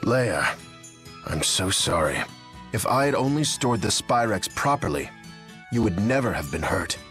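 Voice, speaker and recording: Deep-voiced, remorseful adult. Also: female voice, big pitch swings, unhurried, fairly bright tone, full character voice. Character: remorseful, gentle adult apologising to a girl. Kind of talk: English video game voice acting. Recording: light music behind the voice.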